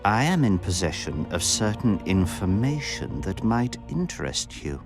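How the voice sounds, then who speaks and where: An actor playing a suave, scheming count in a video game, speaking in florid, measured and insinuating phrases. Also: male voice, deep voice, very animated, over music, heavily acted. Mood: silky persuasion. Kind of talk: video game cutscene dialogue.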